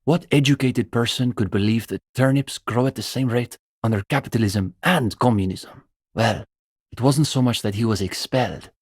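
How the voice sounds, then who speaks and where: A man's voice: medium pitch, husky, no echo, studio quality, lightly acted. An actor playing an earnest young male student communist in a video game, intellectual, opinionated and dryly pedantic. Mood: self-assured, bookish. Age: young adult. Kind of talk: video game dialogue voice acting.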